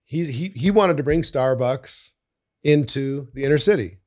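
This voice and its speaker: An older man hosting a podcast interview in a studio, talking casually into a boom mic, often repeating words and trailing off quietly. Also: expressive, normal speed. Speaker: podcast host. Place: studio with bookshelves. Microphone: studio mic on boom arm.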